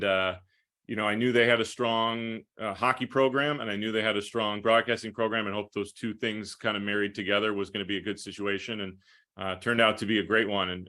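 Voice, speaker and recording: A man's voice: lowish voice, bright, forceful tone. A man in his thirties from New York, talking casually as a broadcaster being interviewed on a podcast. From Rochester, New York, United States. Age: 30s. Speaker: podcast guest, play-by-play broadcaster.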